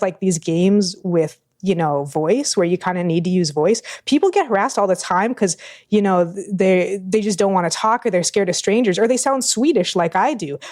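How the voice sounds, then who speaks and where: A woman's voice: medium pitch, animated, fairly quick, darkish tone, no room sound. Sardonic woman podcaster with a dry close sound. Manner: sardonic, swears freely, laughs often. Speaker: podcast co-host. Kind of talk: casual podcast chat.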